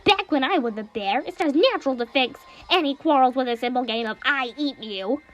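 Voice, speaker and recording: A woman voicing a petulant, whiny young man who used to be a robot bear, in a shrill, loud voice. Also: lively intonation, a little fast, lo-fi sound, soft background music.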